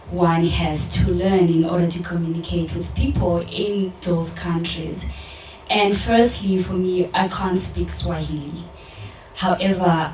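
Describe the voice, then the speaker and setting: A young woman from South Africa speaking candidly and earnestly at a community discussion, pausing with fillers. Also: on the low side, a little mellow, a little hiss or hum. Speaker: audience member in a community dialogue. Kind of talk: personal public discussion. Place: event hall with audience. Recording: roomy, distant mic in a hall.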